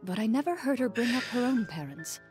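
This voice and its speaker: A woman's voice: on the high side, sing-song, a little fast. An actress playing a composed young female duelist who recounts her strict upbringing in a matter-of-fact way. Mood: calm, dry. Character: calm young female duelist recalling a harsh childhood. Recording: game audio with soft music.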